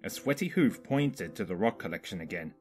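A man narrates a story from a script. His voice sits fairly low and moves in a lively, expressive way, with soft music underneath. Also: brisk. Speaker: fanfiction narrator.

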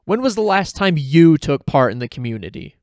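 A sneering middle-aged cartoon rival, acted by a man, smug and taunting. His smirking voice sits a bit high and is recorded close and dry. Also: very animated, a little fast, dry recording.

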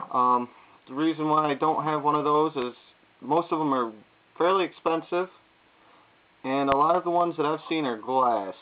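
Casting: man, plain unhurried talker with many filler pauses, slightly higher voice, thin tinny camera sound with faint hiss. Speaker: YouTube vlogger. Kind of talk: casual gear demonstration. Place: indoors at a wooden table.

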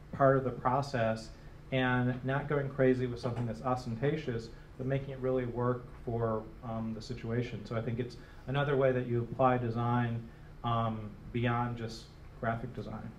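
A man's voice: mid-range voice, a little mellow. A middle-aged man from Detroit, answering interview questions onstage in a casual, rambling way with many fillers and restarts. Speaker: interviewed designer. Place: small gallery room, live audience. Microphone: handheld microphone. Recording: roomy, distant, faint hiss.

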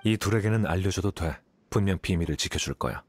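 A man's voice: sits low, fast talker, husky. A man voicing a composed, rational young scholar in a video game, aloof and matter-of-fact. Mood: calm, factual. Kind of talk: video game character dialogue. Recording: loud background music.

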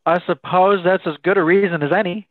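A man's voice: high voice, steady tone, brisk, no room sound. A man playing a curious, courteous American announcer who prompts a storyteller in an old-time radio drama. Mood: wry. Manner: inquisitive, courteous straight man. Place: home room on a group video call. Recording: thin, phone-like video-call line.

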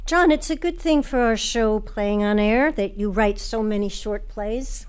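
A woman's voice: mid-range voice, a little slow, clear, resonant tone. A woman hosting a radio show, reading prepared questions and announcements. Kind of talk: scripted hosting and interviewing.

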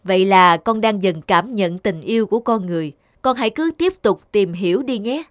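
A woman voicing a large, patient god of love in a cartoon, a wise mentor who instructs in measured words. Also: mid-range voice, animated, dry recording, studio quality, close to an everyday voice. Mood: kindly instructing. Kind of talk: cartoon dubbing.

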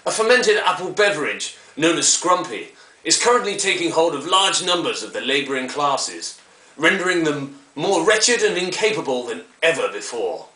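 A man's voice: fairly high pitch, sing-song, normal speed, bright, forceful tone. A man playing a pompous, disdainful, old-fashioned current affairs presenter, announcing formally in a mock vintage broadcast style. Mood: pompous, grave. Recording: echoey, distant from the mic.

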